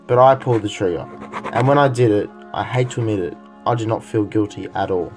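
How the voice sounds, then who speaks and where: A man's voice: fairly low pitch, brisk, over music, natural voice. A man playing a hardened, skeptical police interrogator in a modern radio play, speaking bluntly and insistently. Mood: grim confession.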